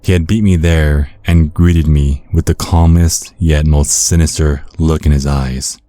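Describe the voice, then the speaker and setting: Man playing a very deep-voiced vampire storyteller. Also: steady tone, measured pace, mellow, dry recording. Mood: confiding. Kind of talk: first-person horror storytelling, ASMR.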